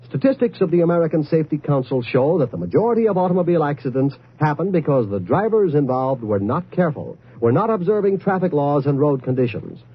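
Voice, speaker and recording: A man reading a scripted 1950s public-service announcement as a radio announcer, earnest and deliberate. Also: on the high side, breathy edge. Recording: dull old radio recording.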